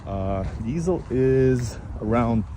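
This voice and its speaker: A man's voice: mid-range voice, animated, slow pace. A man, a Russian speaker, casually chatting to viewers as he walks through city streets and a park. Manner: casual, rambling, chats with passersby and chat. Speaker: vlogger. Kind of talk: walking street vlog talking to viewers. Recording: loud street and traffic noise.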